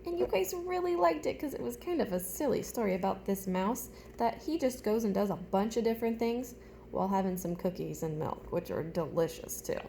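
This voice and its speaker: A woman's voice: mid-range voice, animated, a little fast, vocal fry, dark tone, slight background noise. A woman teacher reading a storybook aloud to young children, chatting to them directly with simple questions and step-by-step prompts.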